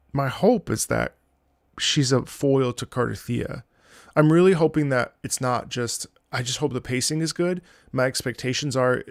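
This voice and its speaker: A man's voice: medium pitch, gravelly, no room sound, clean studio sound. A man giving casual, off-the-cuff commentary on a game as a streamer, rambling and thinking aloud with enthusiasm.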